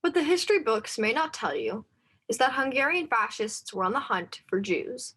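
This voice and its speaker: A woman's voice: fairly high pitch, sing-song, normal speed, fairly bright tone, slightly rough. A woman narrator delivering scripted dramatic storytelling. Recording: faint music underneath.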